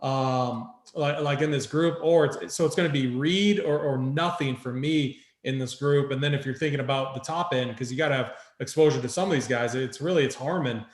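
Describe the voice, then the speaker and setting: A man's voice: medium pitch, moderate pace, hardly any pauses. A man hosting a sports betting show, talking through picks in an informal, conversational way. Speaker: host of a fantasy golf picks show. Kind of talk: casual sports betting talk. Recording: roomy echo.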